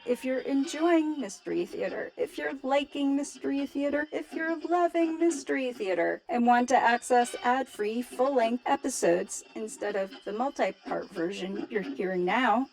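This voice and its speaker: Higher-pitched female promo announcer. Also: animated, normal speed, gravelly, hardly any pauses, darkish tone, soft background music, low-quality recording.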